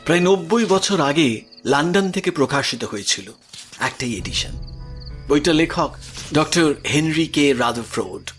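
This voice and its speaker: An actor playing an earnest, grave professor obsessed with the paranormal, speaking insistently in an audio drama. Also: male voice, fairly high pitch, lively intonation, unhurried, fairly bright tone, soft background music. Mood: grave, explanatory. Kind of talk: audio drama acting in Bengali.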